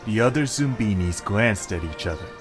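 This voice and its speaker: A man's voice: fairly low pitch, lively intonation, normal speed, over music. A man reading scripted story narration.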